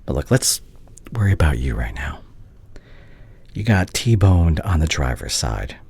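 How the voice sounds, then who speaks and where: A man plays a conflicted male doctor, a wounded but caring ex in an intimate audio roleplay. His voice is very low and husky, often breathy. He speaks slowly and haltingly, with earnest feeling. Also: sing-song, a little mellow, full character voice. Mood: caring, reassuring. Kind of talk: roleplay audio acting, intimate ASMR drama.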